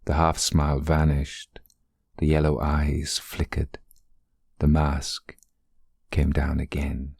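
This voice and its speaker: A man narrating a literary ghost story in a deliberate, dramatic storytelling manner. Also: very deep voice, monotone, gravelly, a little mellow, breathy edge, no echo, clean studio sound.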